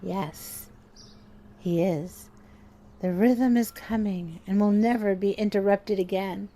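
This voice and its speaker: A woman's voice: mid-range voice, fairly even intonation, slow pace, mellow. A woman playing the ghost of a loving dead wife in a horror audio drama, tender, solemn and eerily persuasive. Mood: serene, eerie.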